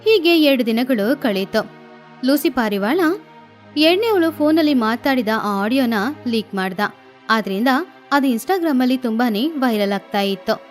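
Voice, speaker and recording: A woman narrating a children's cartoon story in a steady, explanatory way. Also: fairly high pitch, measured pace. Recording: faint music behind.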